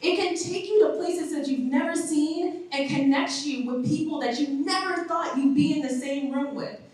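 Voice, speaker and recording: A woman's voice: high-pitched, normal speed, darkish tone. A woman closing an inspirational conference speech in an earnest, encouraging way. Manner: earnest, encouraging, repetitive phrasing. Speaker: summit speaker. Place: large echoey conference hall. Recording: echoey hall, voice from far off.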